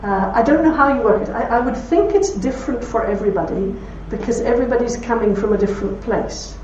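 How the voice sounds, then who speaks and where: A woman with a broad Belfast accent speaking to an audience, telling anecdotes with warmth and laughter. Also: fairly high pitch, lively intonation, fast talker, a little hiss or hum. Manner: warm anecdotal storytelling with laughs. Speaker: speaker at a talk. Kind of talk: talk with audience questions. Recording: roomy hall from far off, dull.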